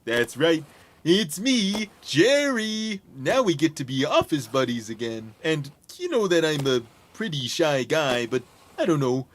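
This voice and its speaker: A man's voice: fairly high pitch, sing-song, unhurried, a little hiss or hum. A man playing a friendly, shy office worker in a video game, earnest and slightly awkward, as if leaving a voicemail. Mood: proud, excited.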